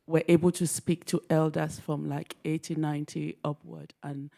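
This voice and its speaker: Gravelly, flat-voiced man from Cameroon asking a question. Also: fairly high pitch. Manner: hesitant, earnest, halting phrasing. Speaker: audience member asking a question. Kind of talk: audience question. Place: lecture hall audience.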